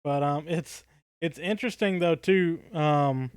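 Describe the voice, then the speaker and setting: A man co-hosting a casual fan podcast, talking in a halting, thoughtful way that circles back over his phrases. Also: a little high, clear, resonant tone, no echo, clean studio sound. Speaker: podcast co-host.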